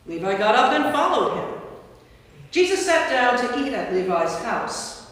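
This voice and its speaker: A woman giving a measured, storytelling narration in a staged scripture reading. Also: on the high side, sing-song. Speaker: narrator, Episcopal priest. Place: large church interior. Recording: very echoey church, distant mic.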